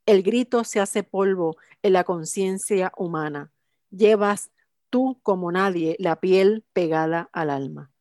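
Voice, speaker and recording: A woman's voice: on the low side, mellow, dry recording. Woman reciting poetry, dry. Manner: measured, declamatory reading. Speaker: radio co-host. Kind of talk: poetry reading and interview.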